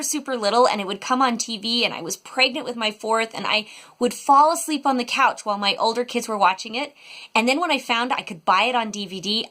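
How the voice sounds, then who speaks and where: A woman's voice: on the high side, pushed and bright. A middle-aged American woman giving a casual, chatty video review with an enthusiastic, emphatic delivery. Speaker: homeschool mom reviewing educational resources.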